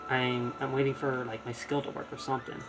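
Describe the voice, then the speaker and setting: A man's voice: mid-range voice, flat delivery, low-quality recording. Rambling man streaming a game over music. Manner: rambling, halting, repeats words. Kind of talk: casual live game commentary.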